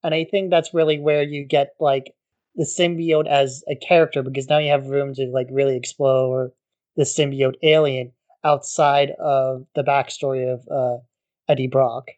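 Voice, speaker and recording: A man co-hosting a podcast, chatting in a relaxed way and leaning on "like" as a filler. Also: mid-range voice, moderate pace. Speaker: podcast co-host. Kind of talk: casual chat.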